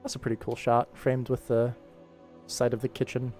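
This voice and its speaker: A man's voice: medium pitch, monotone, moderate pace, a little mellow. A man giving casual livestream commentary as an animation director, thinking aloud and explaining, with music behind him. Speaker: animation director streaming his work.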